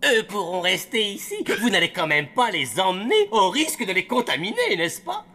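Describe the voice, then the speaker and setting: An actor playing a brisk, falsely cheerful, bossy quack doctor in a French cartoon dub, theatrical and patronising. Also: male voice, sits high, big pitch swings, sharp tone, full character voice.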